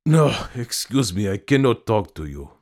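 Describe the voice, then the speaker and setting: A man's voice: lowish voice, sing-song, moderate pace, a little mellow. A man voicing a weary, irritable male bank official in a story, curt and languid as he explains himself. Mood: exhausted, exasperated.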